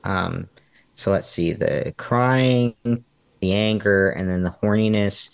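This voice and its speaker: A young man speaking as an interview guest, thinking out loud with many pauses and ums. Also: lowish voice, creaky, clean tone, no room sound. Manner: hesitant and reflective, many ums. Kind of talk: candid personal interview.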